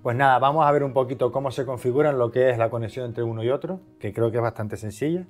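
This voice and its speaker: A man's voice: medium pitch, quick pace, faint music behind. A man from Spain giving a casual, conversational product review, explaining things by asking and answering his own questions. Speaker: video host, product reviewer.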